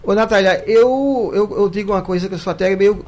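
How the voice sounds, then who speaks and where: High-voiced joking man. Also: brisk, darkish tone. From Paraíba, Brazil. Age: 50s. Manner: jokey, chatty, repeats words when starting. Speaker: guest, singer. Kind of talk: radio studio debate, casual storytelling.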